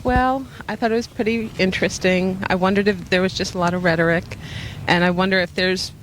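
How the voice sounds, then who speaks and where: A woman's voice: mid-range voice, steady tone, fairly quick, low-quality recording. A young American woman gives spontaneous answers in an outdoor interview. She is polite but guarded, and the remote recording carries faint noise. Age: young adult. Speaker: street interviewee. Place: outdoors on a city street.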